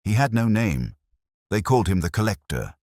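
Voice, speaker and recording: A man's voice: on the low side, big pitch swings, normal speed, a little mellow, no room sound, clean studio sound, voice pushed up. A man voicing a frightened, desperate underling in a mystery audiobook, shaken and pleading as he speaks. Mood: gasping terror.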